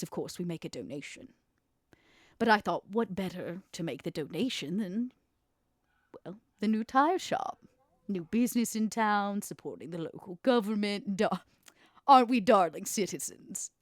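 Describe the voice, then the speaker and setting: A woman playing an imperious, ruthless female vampire schemer in a tabletop roleplay, speaking deliberately with dramatic pauses. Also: mid-range voice, dry recording, studio quality, lightly acted. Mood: wry, poised. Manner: deliberate, dramatic pauses, stressed key words. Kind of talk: in-character tabletop roleplay acting.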